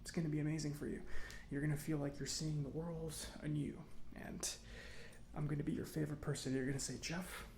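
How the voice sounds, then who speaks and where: Soft ASMR robot mechanic, acted by a man: near-whispering, slightly high male voice with gravelly fry, chatty and smug, musing at leisure. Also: flat delivery, a little hiss or hum, lightly acted. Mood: upbeat, self-satisfied. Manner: unhurried, hesitant musing with pauses. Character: chatty, self-satisfied government robot mechanic, gently scolding. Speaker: ASMR roleplay performer. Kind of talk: soft-spoken ASMR roleplay.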